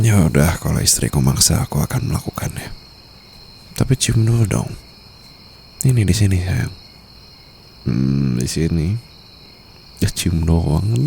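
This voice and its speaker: A man's voice: sits low, creaky, mellow, a little hiss or hum, full character voice. A man with an Indonesian accent playing a doting, possessive mafia boss husband in an intimate, teasing ASMR roleplay. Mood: teasing, affectionate. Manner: teasing, coaxing, murmured endearments with English phrases mixed in.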